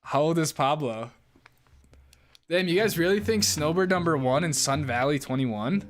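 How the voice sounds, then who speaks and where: A young man hosting a live stream, reading chat and answering in a relaxed, casual way, laughing often and swearing now and then. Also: a little high, moderate pace. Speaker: livestream host reading chat.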